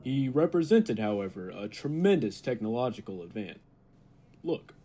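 A man's voice: mid-range voice, sing-song, normal speed, noisy background. A man playing a confident laboratory scientist who explains things dramatically in an old-time radio play. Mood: earnest, ominous. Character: confident male laboratory scientist, eager and unflinching.

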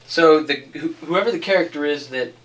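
A man's voice: on the high side, a little fast, fairly bright tone, distant mic, rough recording. Probing male interviewer, slightly off mic. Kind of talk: conversational interviewing.

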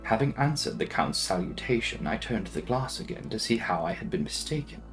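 A young man giving a dramatic, brooding reading of a gothic novel, with theatrical, deliberate phrasing. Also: on the low side, very animated, soft background music, poor audio. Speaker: audiobook narrator.

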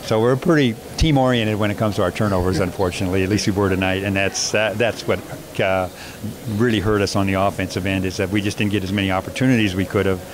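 Man, basketball coach, low voice, flat delivery, halting with fillers, noisy background. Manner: measured, hesitant with many restarts and fillers. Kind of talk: postgame interview.

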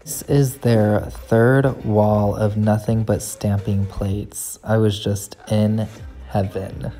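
A woman's voice: very deep voice, warm tone. A woman narrating a casual shopping haul vlog as a nail art YouTuber, gushing with enthusiasm as she shows her finds in a store and at her home desk.

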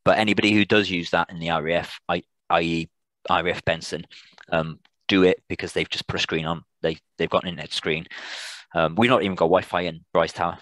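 A British man informally answering questions as a presenter on a video call, in a halting way with repeated words and restarts. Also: deep voice, fairly even intonation, fairly bright tone, no echo, poor audio. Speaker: air traffic controller, guest presenter.

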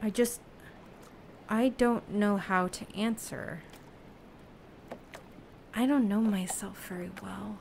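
A lone, self-doubting human raft dweller, played by a woman, in an audio drama. The speech is slow, halting and plain, in a mid-pitched voice. Faint noise sits behind it. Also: steady tone, gravelly, darkish tone. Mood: troubled, searching. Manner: halting, plain-spoken, unsure. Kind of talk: naturalistic audio play acting.

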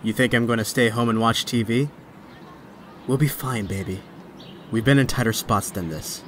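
An actor playing a young, wisecracking but stressed superhero in a comic dub, with a sardonic, conversational delivery. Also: male voice, mid-range voice, moderate pace, fairly bright tone, a little hiss or hum, lightly acted. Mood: reassuring. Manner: sardonic, conversational inner monologue. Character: young male superhero, wisecracking yet stressed and self-doubting. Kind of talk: dramatic comic dub acting.

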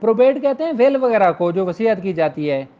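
A man's voice: sits high, very animated. A man with a Pakistani accent giving an informal, explanatory lecture as a law teacher. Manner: explanatory, switches between Urdu and slowly read English. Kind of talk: informal lecture, reading out and explaining legal articles. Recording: dull lo-fi recording.

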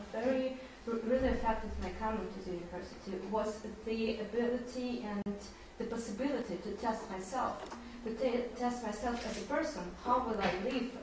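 A woman's voice: on the high side, fairly quick, few pauses. Young woman student, Belarusian accent, earnest spontaneous answer, far from the mic with noticeable noise. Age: young adult. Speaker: university student. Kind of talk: earnest unscripted answer to a panel. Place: small seminar room. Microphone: distant camera microphone. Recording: echoey classroom, far mic, noisy.

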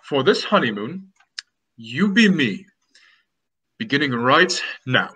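A young man playing an anxious, self-important groom in melodramatic, drawn-out old-time radio mystery style. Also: medium pitch, sing-song, bright, forceful tone, breathy edge, exaggerated voice, poor audio. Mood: scheming, pompous. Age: young adult. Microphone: video call.

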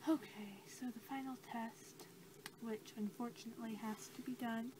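A young woman speaking softly and haltingly in an ASMR role-play as an examiner, her hushed voice often dropping toward a whisper. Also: a little high, steady tone. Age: young adult. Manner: gentle, halting delivery that drops into whispers. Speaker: ASMR creator role-playing a creature examiner. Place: small cluttered room indoors. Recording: noisy amateur home recording.

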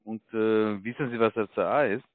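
A man's voice: fairly low pitch, fairly even intonation, normal speed, dry recording. Foreign-accented man on a thin phone line. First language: not German. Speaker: call centre caller posing as official. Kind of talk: scripted sales phone pitch.

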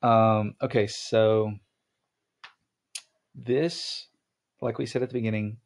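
A man's voice: fairly low pitch, fairly even intonation, slow pace, creaky. A young man hosting a podcast, chatting casually with his wife, rambling with lots of ums and restarts. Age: young adult. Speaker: podcast host.

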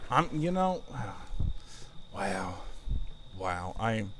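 A man's voice: on the low side, big pitch swings, drawn-out words, a bit bright, a little hiss or hum. A man in his thirties chatting casually and rambling with self-mocking humor while he streams a game. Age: 30s. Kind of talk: casual gaming livestream chat.

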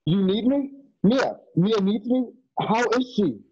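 A man playing an earnest, anxious young man in a radio drama, with a husky voice and a hesitant, stammering delivery. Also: high-pitched, lively intonation, unhurried, dark tone, no echo, full character voice. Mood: alarmed. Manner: hesitant, stammering, repeating words. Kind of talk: online radio drama acting. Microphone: video call, home setup. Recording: dull lo-fi video call.

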